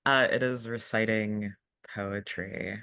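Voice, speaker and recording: A man's voice: fairly low pitch, fairly even intonation, slow pace, pushed and bright, clear, resonant tone, no echo. A man playing a tabletop roleplaying game, casually making up what his character does as he goes. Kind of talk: casual improvised description of their character.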